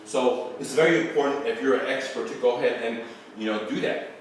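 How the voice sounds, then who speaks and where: A man's voice: mid-range voice, a little fast, a little hiss or hum. Iranian-born man in a very echoey room. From Iran. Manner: loose and rambling, often checking in with "okay?", casual swearing. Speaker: real estate investor and channel host. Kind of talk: casual instructional talk to camera. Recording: very echoey room, mic far off.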